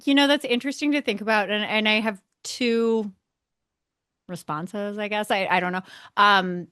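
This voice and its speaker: A woman's voice: mid-range voice, moderate pace, fairly bright tone, smooth, no room sound. A woman co-hosting a podcast, chatting about motor racing in an opinionated way and restarting her sentences often. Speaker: podcast co-host. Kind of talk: casual racing chat.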